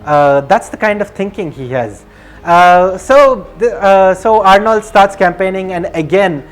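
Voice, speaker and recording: A video narrator telling a life story off the cuff, hesitant and rambling, often restarting and repeating phrases. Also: medium pitch. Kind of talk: casual unscripted storytelling.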